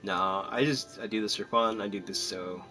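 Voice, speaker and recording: A man chatting casually as he streams a game, joking and rambling in an animated way. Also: lowish voice, moderate pace, soft background music. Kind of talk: casual gameplay commentary and chat.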